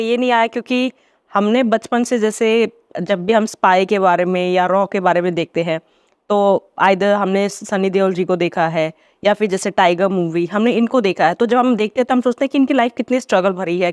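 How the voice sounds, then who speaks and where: A woman hosting a podcast, asking curious, questioning follow-ups with soft trailing ends. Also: medium pitch, steady tone, moderate pace, clean tone, no echo, studio quality. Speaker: podcast host. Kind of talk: interview questions.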